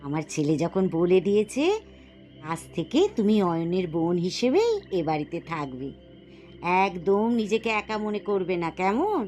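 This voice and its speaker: A woman's voice: on the low side, lively intonation, moderate pace, a little mellow, close to an everyday voice. A woman voicing a caring, coaxing middle-aged mother in a cartoon moral story. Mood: kindly. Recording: music behind the voice.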